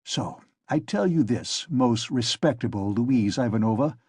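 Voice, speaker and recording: A man's voice: medium pitch, lively intonation, a little slow, husky, dry recording, studio quality. A man doing a bullying, furious police officer. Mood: stern scolding. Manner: shouting, bullying, contemptuous. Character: enraged pompous male police officer.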